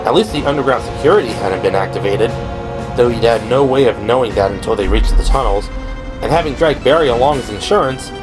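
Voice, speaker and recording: A man's voice: mid-range voice, animated, brisk. A man delivering scripted, dramatic narration for an audio drama. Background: dramatic background music.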